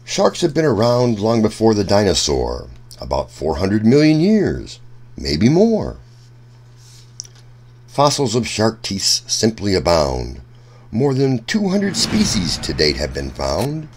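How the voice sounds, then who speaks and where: Low-voiced man reading slowly, with lively swings. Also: slightly rough. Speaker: children's story reader. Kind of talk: read-aloud of a rhyming children's book.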